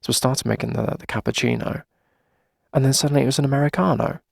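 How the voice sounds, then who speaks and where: A man's voice: medium pitch, fast talker, no room sound, clean studio sound. A man playing a friendly, flustered coffee shop owner in a casual, chatty ASMR roleplay, talking hesitantly as if to a favorite customer. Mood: weary, exasperated. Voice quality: creaky, gravelly. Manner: hesitant, chatty, sighing conversational delivery.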